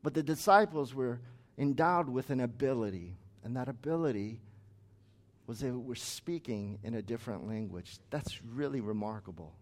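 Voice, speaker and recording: A man's voice: mid-range voice, lively intonation, moderate pace. A man who grew up in the United States, preaching a sermon as pastor in a conversational way with chatty asides.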